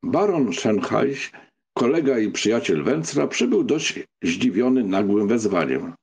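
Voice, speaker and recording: A man's voice: lowish voice, very animated, measured pace, creaky, a little mellow, husky. A man narrating a novel as scripted literary audiobook reading.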